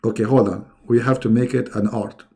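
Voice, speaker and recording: Creaky, dark-voiced sarcastic man. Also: mid-range voice, fairly even intonation, brisk. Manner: mocking, sarcastic, emphatic; speaks English as a second language. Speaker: debate host. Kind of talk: live online debate, addressing callers.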